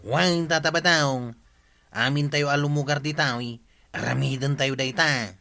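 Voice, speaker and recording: A man's voice: mid-range voice, steady tone, moderate pace, gravelly, pushed and bright, soft background music. A man playing a firm village official in an Ilocano radio comedy drama, with an Ilocano Filipino accent.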